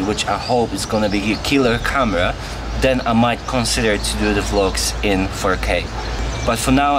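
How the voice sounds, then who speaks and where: A man's voice: mid-range voice, noisy background. Polish man vlogging on a busy street. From Poland. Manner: plain, earnest, answers viewer questions one by one. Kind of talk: casual Q&A to camera. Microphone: on-camera mic.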